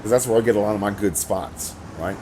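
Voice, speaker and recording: A man's voice: lowish voice, fast. A middle-aged man with a crackly voice, rambling through casual game commentary from his home setup. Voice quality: crackly, gravelly voice. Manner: rambling, repeats words, swears when annoyed. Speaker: gaming video host. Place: home room, indoors.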